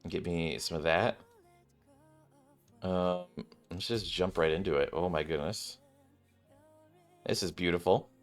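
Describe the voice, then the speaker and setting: A man's voice: deep voice, measured pace, pauses often. A man doing casual game commentary, reading dialogue aloud and stumbling over written words as he reacts. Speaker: game streamer. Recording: background game music. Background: game music.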